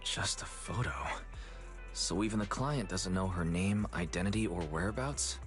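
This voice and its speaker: An actor playing a young male proxy in a video game, level-headed, measured and inquisitive. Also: low-pitched, faint music behind, close to an everyday voice. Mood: puzzled, probing.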